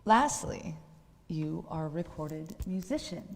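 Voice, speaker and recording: An American woman hosting a casual interview, agreeable and quick with short affirmations. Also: deep voice, animated. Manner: agreeable, chuckles often, brief affirmations. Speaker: show host interviewing a guest. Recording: long hall reverb.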